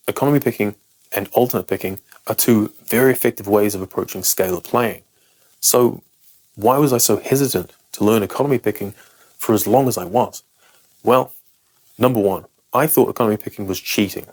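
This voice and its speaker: A man's voice: on the low side, darkish tone. A man with a British accent, a native English speaker, talks to camera as a guitar teacher, explaining picking technique in a frank, self-deprecating and emphatic way.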